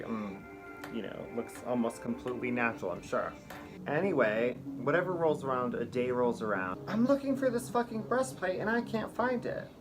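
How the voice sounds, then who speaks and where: Man, middle-aged, campy, profane, rambling storyteller, music clearly audible behind. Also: medium pitch. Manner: campy, profane, chatty, full of fillers. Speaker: drag performer and show host. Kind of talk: rambling comic storytelling monologue. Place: drag room in a small home apartment.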